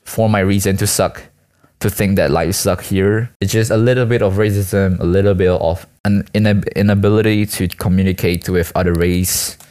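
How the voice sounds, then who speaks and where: Young man, student from Malaysia, low-pitched. Casual solo vlog ramble, halting and self-mocking, full of slang. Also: steady tone, a little mellow, clean tone. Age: teens to young adult, school student. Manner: hesitant, self-deprecating, slangy. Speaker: student vlogger and aspiring actor.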